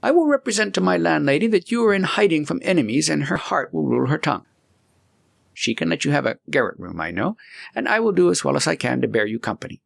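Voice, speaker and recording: An excitable, loyal young man from an old storybook, acted out by a male narrator. He speaks in animated exclamations, with some gravel in the voice. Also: fairly high pitch, fast, voice pushed up, exaggerated voice. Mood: eager, protective.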